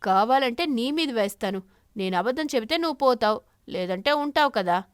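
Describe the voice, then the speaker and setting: A woman's voice: a little high, expressive, fairly quick, clear, resonant tone, dry recording, clean studio sound, close to an everyday voice. A woman voicing a frightened, defensive crow in a moral cartoon, protesting and pleading. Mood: indignant.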